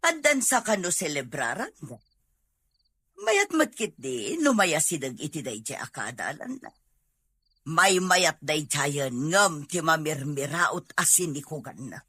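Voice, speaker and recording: A woman playing a hopeful, scheming middle-aged mother in an audio drama, with a husky voice. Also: low-pitched, sing-song, a little slow, bright, forceful tone, no echo, full character voice. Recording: faint music under the voice.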